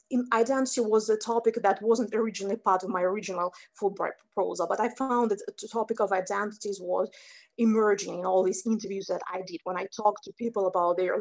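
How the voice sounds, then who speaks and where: A middle-aged woman whose first language is Russian, giving a thoughtful slide presentation over a webcam from home, with fillers and restarts. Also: medium pitch. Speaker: researcher presenting a poster talk. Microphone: webcam on a video call.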